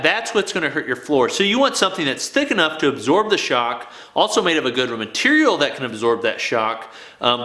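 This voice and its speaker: A young man talks straight to the camera from his home garage gym, loud and emphatic, with a bright, forceful voice a little high for a man. His words bounce around a hard, echoey room while he moves about on camera, and he tags "okay?" onto his points. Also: slight background noise. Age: young adult. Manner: emphatic, keeps adding "okay?". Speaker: fitness video host. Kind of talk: casual talk to camera. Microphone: camera mic, moving around the room.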